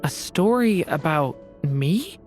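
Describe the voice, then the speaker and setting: A gentle dragonborn boy from a video game. The voice sits somewhat low and moves slowly, earnest and a little hesitant, over music. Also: animated.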